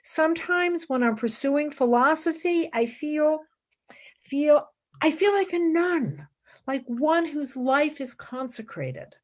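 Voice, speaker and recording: A woman acting in a radio play as an idealistic, exacting young philosophy student, earnest and expressive. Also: high voice, slow. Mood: fervent.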